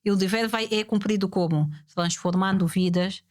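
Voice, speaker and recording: A woman's voice: medium pitch, fairly even intonation, normal speed. Reflective woman asking rhetorical questions. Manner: poses rhetorical questions and repeats key points. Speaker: guest speaker. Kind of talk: reflective podcast conversation.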